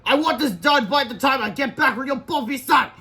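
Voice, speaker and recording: A man voicing an irritable woman school secretary from a storybook, giving brisk orders and scolding. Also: very high voice, lively intonation, bright, forceful tone, husky, voice pushed up, heavily acted. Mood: irritated.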